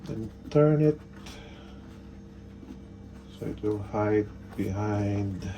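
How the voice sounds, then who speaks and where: Slow-talking, low-voiced man giving instructions. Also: lo-fi sound, music in the background. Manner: unhurried step-by-step, short instructional phrases. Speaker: product installation demonstrator. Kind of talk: casual hands-on tutorial narration. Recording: faint background noise.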